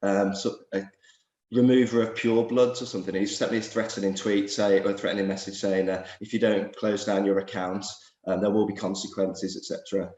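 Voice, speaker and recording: Hoarse fast-talking man with a cold. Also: on the low side, level tone, darkish tone, roomy sound. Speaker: doctor, interview guest. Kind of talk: video call interview chat.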